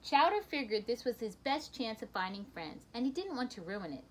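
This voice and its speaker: A woman reading a children's picture book aloud in an expressive storytelling manner, with brief asides to her listeners. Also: a little high, fairly quick, noisy background.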